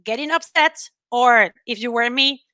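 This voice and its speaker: A woman's voice: on the high side, a little slow, bright, forceful tone, clean tone, no room sound, studio quality. A woman in her thirties from Venezuela with a Venezuelan Spanish accent, telling personal stories earnestly and expressively as a speaker in an online talk. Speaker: panel speaker. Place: indoor room at home, on a video call.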